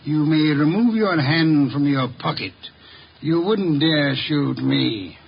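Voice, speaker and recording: An actor playing a brilliant, irritable private detective in a 1950s radio drama, speaking in a pompous, formal and curt way. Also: male voice, fairly high pitch, animated, takes it slowly, gravelly, breathy edge, exaggerated voice. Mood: cool, commanding. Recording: dull, noisy 1950 radio recording.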